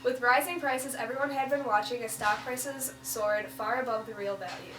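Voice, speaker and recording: A woman playing a brisk 1920s news co-anchor in a scripted amateur newscast. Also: fairly high pitch, close to an everyday voice. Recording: roomy distant mic, noisy hum.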